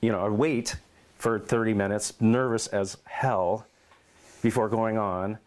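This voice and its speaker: A middle-aged man in an oral history interview at his home, telling lively stories and acting out quotes. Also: on the low side, unhurried, slight background noise. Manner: animated storyteller, acts out quotes and meows.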